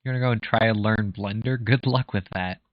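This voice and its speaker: A man's voice: on the low side, fairly even intonation, brisk, no room sound. A young man from Minnesota streaming a game, joking dryly while he plays. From USA, Minnesota. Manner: dry, joking, talks while playing. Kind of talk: casual gaming stream chat. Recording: muffled lo-fi mic.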